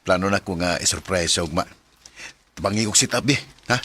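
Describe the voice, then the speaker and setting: A man's voice: deep voice, a little fast, bright, forceful tone, slight background noise. A man playing a confident young boyfriend and friendly best buddy in a radio drama. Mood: eager, confiding. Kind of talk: radio drama acting in Cebuano.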